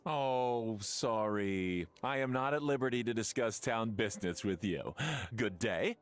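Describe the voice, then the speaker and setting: A man's voice: on the low side, unhurried, clean tone, lightly acted. A man playing a fussy, self-important town mayor in a video game, with a pompous, formal manner. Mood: dismissive. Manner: pompous, formal, affected phrasing.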